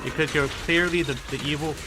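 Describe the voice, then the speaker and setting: A man giving casual, halting and sarcastic live commentary as he streams a video game. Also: a little high, fairly even intonation. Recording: faint game music behind.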